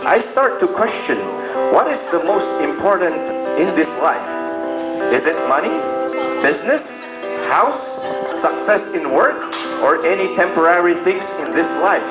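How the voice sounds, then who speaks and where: High-voiced Filipino man reading aloud over loud music. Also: big pitch swings, a little slow. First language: Tagalog. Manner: playful, stumbling, breaks into chuckles and side comments. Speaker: reader of a friend's message. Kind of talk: reading a letter aloud with joking asides. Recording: echoey room with loud music behind. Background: loud instrumental music.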